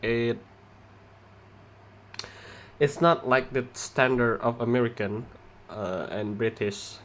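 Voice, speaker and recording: Slow, halting Indonesian-accented man. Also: medium pitch, fairly bright tone. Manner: halting, many pauses and restarts. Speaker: student presenter. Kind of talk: class presentation.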